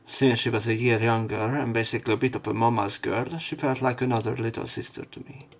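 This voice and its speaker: A man's voice: medium pitch, fairly even intonation, a little fast, soft background music. A man narrating a visual novel let's play, reading the story text aloud with commentary.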